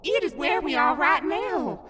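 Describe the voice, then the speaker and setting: A woman's voice: sits high, sing-song, unhurried, crowd noise. A woman voicing a playful, doting grandmotherly ghost guide in a theatrical, storybook manner for a cartoon fandub. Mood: grand, mysterious. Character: playful grandmotherly ghost sprite in clown garb, mysterious guide. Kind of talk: fandub character acting.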